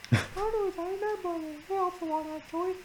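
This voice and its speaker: A man voicing a small, indignant cartoon game hero in a squeaky, protesting way. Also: normal speed, slight background noise. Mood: defensive.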